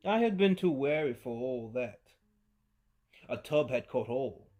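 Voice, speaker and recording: A man giving a theatrical reading of a story, swinging from hushed to loud. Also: medium pitch, lively intonation, unhurried. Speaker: narrator. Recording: faint music behind.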